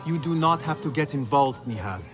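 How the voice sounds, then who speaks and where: An actor playing an ambitious, idealistic young street thief in a video game, speaking in formal, earnest phrases. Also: male voice, a little high, animated, brisk, close to an everyday voice. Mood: earnest, determined. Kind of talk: dramatic video game voice acting. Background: music.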